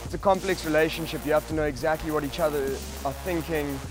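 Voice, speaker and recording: A man's voice: fairly high pitch, steady tone, fast talker, poor audio. A young man, an athlete, giving a casual interview answer outdoors. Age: young adult. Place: outdoors by a lake. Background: background music.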